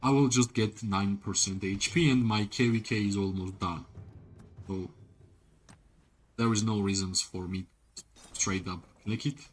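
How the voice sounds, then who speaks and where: A man's voice: fairly low pitch, steady tone, unhurried, long pauses, a little hiss or hum. A man streaming a video game, giving rambling, casual commentary and talking directly to his chat, often filling with "like" and tagging sentences with "right?". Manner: rambling, leans on "like" and tag questions "right?"; talks to chat viewers directly. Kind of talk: casual live-stream commentary while playing.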